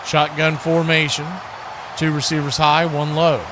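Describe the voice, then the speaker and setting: A man's voice: fairly high pitch, monotone, a little slow. A man calling live football play-by-play as a radio announcer. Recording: clearly noisy background hiss and ambience.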